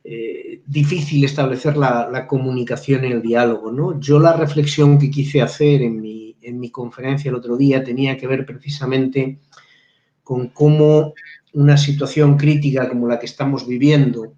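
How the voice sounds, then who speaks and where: A man speaks as a guest professor on a live video call. He thinks aloud, pausing on fillers and restarting phrases. The sound is roomy and a little dull, like webcam audio. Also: mid-range voice, dark tone. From Spain. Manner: reflective, halting, frequent restarts and fillers. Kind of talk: live webinar discussion.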